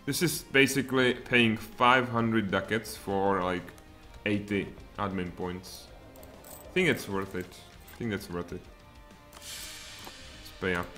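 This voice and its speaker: A man in his thirties with a Czech accent, streaming a strategy game from home in lively, muttered commentary with sudden outbursts. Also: fairly low pitch, slow, soft background music. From Czech Republic. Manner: animated, muttering asides, sudden exclamations. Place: home room, indoors.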